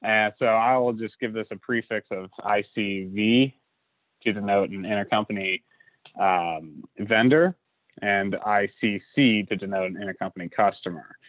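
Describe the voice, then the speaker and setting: A man giving a relaxed screen-recorded software walkthrough, with lots of uh and you know. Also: on the low side, normal speed, dry recording. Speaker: software instructor. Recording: dry tinny lo-fi audio.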